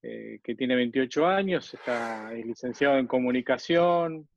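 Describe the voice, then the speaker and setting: A man's voice: mid-range voice, measured pace, creaky, no echo. A middle-aged or older man, chatting casually as an interview guest over a video call. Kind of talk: casual conversation. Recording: dull, lo-fi video-call audio.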